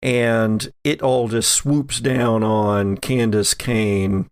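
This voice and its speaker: A man narrating a horror tabletop game as game master, with deliberate, suspenseful storytelling. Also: lowish voice, steady tone, no room sound, studio quality.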